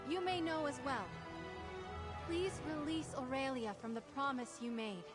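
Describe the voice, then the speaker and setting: A woman's voice: high-pitched, lively intonation, measured pace, over loud music. An actress voicing a nervous, earnest young female knight aspirant in a fantasy video game.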